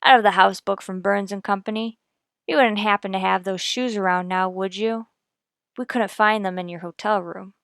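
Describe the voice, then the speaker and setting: A woman's voice: medium pitch, fairly even intonation, normal speed, a bit bright, clean tone, dry recording, clean studio sound, lightly acted. A woman playing a no-nonsense, methodical police detective in a radio drama, clipped and matter-of-fact. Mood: pressing. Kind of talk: old-time radio police drama, scripted narration and dialogue.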